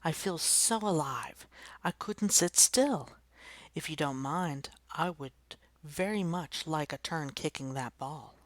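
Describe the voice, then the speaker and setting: A woman voicing a lively, prim schoolteacher who speaks earnestly and a little dramatically. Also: low voice, slow, no room sound. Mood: excited. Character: lively, gently indignant female schoolteacher.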